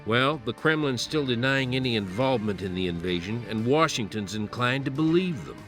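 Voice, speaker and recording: An actor playing a senior military commander in a game, giving orderly, authoritative briefings. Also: male voice, medium pitch, animated, normal speed, fairly bright tone, lightly acted. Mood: grave, businesslike. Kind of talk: scripted military game dialogue. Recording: music behind the voice.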